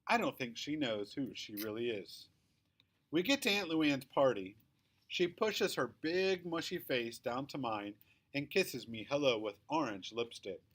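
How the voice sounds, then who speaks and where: A man reading a children's story aloud in the first person, with expressive, emphatic storytelling. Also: mid-range voice, measured pace. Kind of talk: scripted children's book read-aloud, first-person narration.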